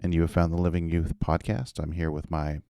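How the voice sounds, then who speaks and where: A man's voice: deep voice, flat delivery, fairly quick, vocal fry, dark tone, smooth, dry recording. A man hosting a casual religious podcast for young people, with a dry, playful sense of humor. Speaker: podcast host.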